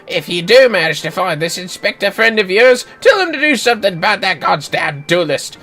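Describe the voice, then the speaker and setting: Performer as a grumbling townsman, blunt, colloquial, animated, bright, game character. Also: mid-range voice, brisk, faint music behind.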